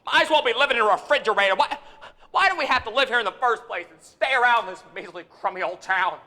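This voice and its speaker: A man's voice: extremely high pitch, animated, fast talker, pushed and bright, big-room sound, heavily acted. A young man acting a small-town father at breaking point in a live radio play, stammering and repeating words. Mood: bitter. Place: theater stage with audience. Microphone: vintage stand microphone.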